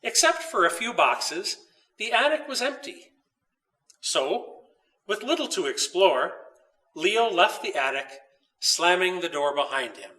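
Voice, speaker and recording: A man reading his own children's story aloud with expressive, dramatic narration. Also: high-pitched, measured pace, distant mic, poor audio.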